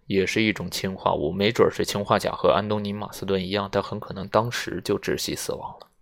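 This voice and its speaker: A man's voice: sits low, steady tone, fast, vocal fry. A man voicing a nervous middle-aged doctor, clinical at times and hesitant and defensive when under suspicion, in an audiobook.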